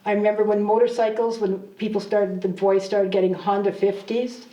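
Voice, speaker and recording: A woman's voice: mid-range voice, little pitch movement, moderate pace, mellow. A woman in her seventies chatting fondly about growing up in a small town, full of asides. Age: 70s. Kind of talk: documentary interview. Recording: dull, roomy sound from a distant mic.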